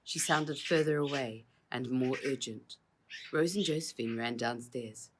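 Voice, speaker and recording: A woman reading a children's story as its author, in a measured, expressive storytelling manner. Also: very deep voice, background noise. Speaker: author reading their own book. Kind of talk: children's audiobook narration.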